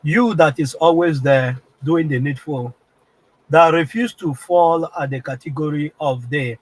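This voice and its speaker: A Nigerian man with a heavy cold and a strained throat, hosting a political live-stream broadcast and clearing his throat often. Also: on the high side, a little slow, low-quality recording. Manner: keeps clearing his throat, repetitive and insistent.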